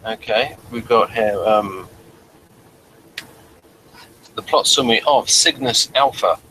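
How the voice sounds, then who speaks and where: Bright-voiced man hosting an informal review show. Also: lowish voice, slight background noise, poor audio. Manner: halting, digressive, self-correcting. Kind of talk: informal review chat, reading out a summary.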